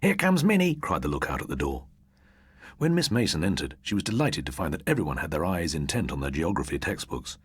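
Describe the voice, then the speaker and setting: A man's voice: rumbling low, big pitch swings, a little fast, husky, dry recording. A British man narrating a ghost story for an audiobook in a dramatic, scripted style.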